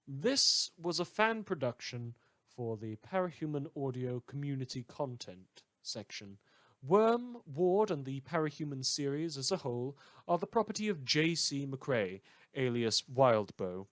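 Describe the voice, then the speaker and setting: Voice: mid-range voice, big pitch swings, a little slow, dry recording. A podcast creator giving informal thanks and credits for a fan production, rambling a little with a hesitant, wry delivery.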